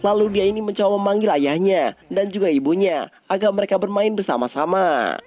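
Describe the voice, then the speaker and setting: A man's voice: high voice, animated, brisk, darkish tone, faint music behind. A man narrates a story recap. His delivery is colloquial and conversational, like casual scripted storytelling for an online video.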